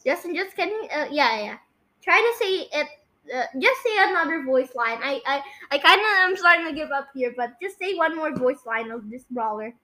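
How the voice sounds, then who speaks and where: A female-sounding young host with a high, bright voice. The delivery is casual and excitable, like friends chatting over a game, with phrases often repeated. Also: moderate pace, low-quality recording. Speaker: podcast host. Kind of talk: casual game chat, guessing voice lines.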